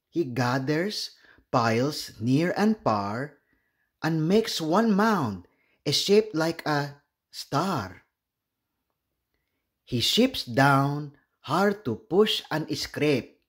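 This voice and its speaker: A man with a Filipino accent reading a children's storybook aloud, expressively and deliberately. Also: mid-range voice. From Philippines. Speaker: children's book read-aloud host.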